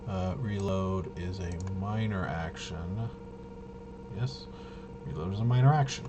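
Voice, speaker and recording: A man casually talking himself through a tabletop game walkthrough, halting and unscripted, muttering as he thinks. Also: low-pitched, highs cut off, background noise. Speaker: host of a tabletop roleplaying video.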